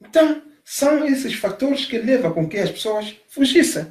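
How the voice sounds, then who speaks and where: A man from Africa giving an impassioned monologue, emphatic and repetitive, stressing his points loudly. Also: very high voice, big pitch swings, moderate pace, reverberant. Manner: emphatic, repeats phrases, rising to loud stresses. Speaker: commentator on migration.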